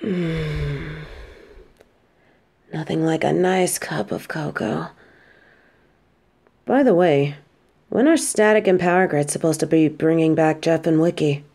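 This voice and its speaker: A woman playing a casual, affectionate, teasing supervillain who gets flustered, speaking haltingly and expressively in an audio drama. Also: deep voice, mellow, husky, full character voice. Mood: soft, hesitant. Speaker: voice actress in an audio roleplay. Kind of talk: intimate scripted audio drama addressed to a listener.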